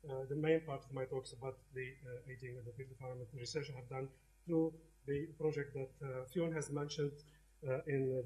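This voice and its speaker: A man's voice: medium pitch, darkish tone. A middle-aged man from Algeria giving an academic lecture in a lecture hall, talking conversationally with frequent hesitations and restarts.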